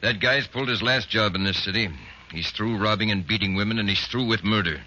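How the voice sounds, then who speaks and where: An actor playing a stern, impatient police chief who gives blunt, clipped orders in a 1940s radio drama, on a dull, hissy old broadcast recording. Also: male voice, fairly low pitch, fairly quick, sharp tone.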